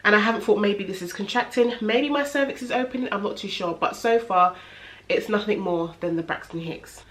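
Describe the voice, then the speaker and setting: A woman's voice: mid-range voice, a little fast. Young woman, nasal and stuffy from a cold, casual rambling home vlog. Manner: chatty, rambling, many fillers. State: congested with a head cold. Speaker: vlogger. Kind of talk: casual pregnancy update vlog. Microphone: camera in front of her.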